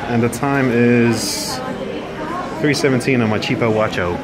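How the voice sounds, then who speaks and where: A man's voice: mid-range voice, fairly even intonation, measured pace, a loud crowd. Joking guy filming in a car. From Australia. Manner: joking, swears casually, gives running updates. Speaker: vlogger. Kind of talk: casual vlog chat. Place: inside a car. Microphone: phone held at arm's length. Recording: noisy car interior.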